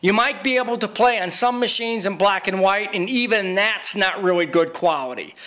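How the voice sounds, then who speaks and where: A man with a noticeably high voice narrates an informal how-to. He talks like a hands-on technician sure of his own skill. His delivery is emphatic and boastful. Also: normal speed, pushed and bright. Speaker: video repair technician.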